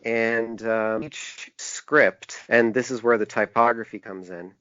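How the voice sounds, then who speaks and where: A man answering interview questions as a designer, in a conversational but halting way, often restarting his phrases. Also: mid-range voice, steady tone, a bit bright, dry recording. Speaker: podcast guest, book designer. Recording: dull, band-limited lo-fi sound.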